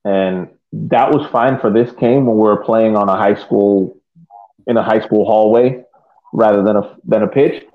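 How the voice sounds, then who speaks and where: Low man on tinny, phone-like audio. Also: fairly even intonation, unhurried. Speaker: soccer podcast co-host. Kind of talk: casual sports analysis.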